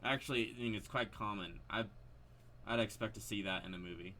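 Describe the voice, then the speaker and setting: A man's voice: fairly low pitch, fairly quick, bright, forceful tone, a little hiss or hum. A man giving casual, unscripted game commentary, hesitant and rambling as he thinks aloud. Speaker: video creator commenting on a horror game.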